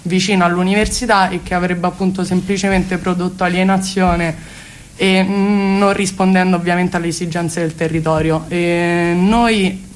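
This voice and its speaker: A woman speaks as an interview guest, explaining earnestly and at length with hesitant phrasing. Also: fairly low pitch. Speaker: guest, cultural space activist. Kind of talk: spoken radio interview, explanatory.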